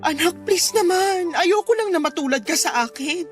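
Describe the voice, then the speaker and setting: High-voiced pleading Filipino mother. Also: animated, moderate pace, faint music behind. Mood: pleading, worried. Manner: earnest, pleading. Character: worried, pleading middle-aged mother, protective and loving. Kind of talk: Filipino radio drama acting.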